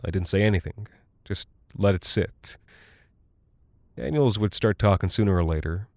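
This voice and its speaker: An actor playing a rattled, folksy American cargo pilot who talks in a halting, confiding way, like a personal audio log in a horror drama. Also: male voice, low voice, vocal fry, a little mellow, dry recording. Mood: uneasy, confiding. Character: weary, rattled male military cargo pilot, chatty and folksy.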